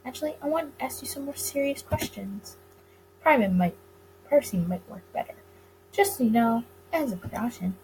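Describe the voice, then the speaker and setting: A flustered male detective from a story game, acted out by a woman, talks in halting, stammered bursts. He sounds indignant, pitched fairly high, with background noise behind him. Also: animated, darkish tone, rough recording.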